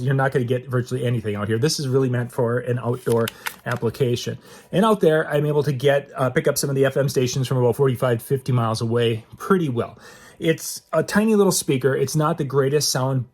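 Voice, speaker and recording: A man's voice: medium pitch, darkish tone. A man giving a casual, unscripted product demo in a folksy, conversational way, repeating himself for emphasis. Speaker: product reviewer, host of an outdoor gear channel. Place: inside a metal building.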